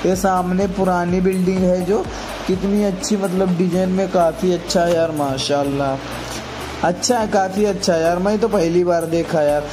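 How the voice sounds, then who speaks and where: A young man chats freely to his viewers as he walks the streets at dawn, holding his phone out at arm's length. His voice sits a little on the high side and is friendly and talkative. Music plays clearly underneath his words. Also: steady tone, moderate pace, rough recording. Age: young adult. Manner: chatty, addresses viewers as bhai and yaar. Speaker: vlogger. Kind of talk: casual vlog narration. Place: outdoors on city streets and at a railway station at dawn. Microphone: phone held at arm's length.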